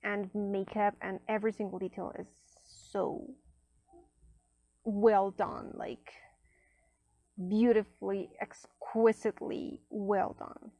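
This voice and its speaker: A woman whose first language is Spanish, casually reviewing an oracle deck at a table, chatty, with many fillers and soft near-whispered asides. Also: medium pitch, little pitch movement, slow pace, no room sound. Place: indoors at a table.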